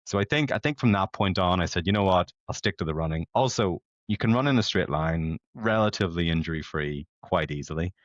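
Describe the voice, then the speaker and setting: A Northern Irish man in his late thirties talks in a low voice, chatty and self-deprecating. He rambles easily like a podcast guest. The sound is dry and a bit dull, lo-fi. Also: expressive, a little fast, few pauses, dry recording. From Belfast, Northern Ireland. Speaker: podcast guest, amateur competitive runner. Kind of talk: casual conversational storytelling.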